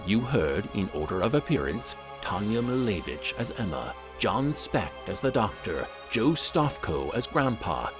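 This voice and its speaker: A man reading scripted closing credits as a narrator. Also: fairly low pitch. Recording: music behind the voice. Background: clear music.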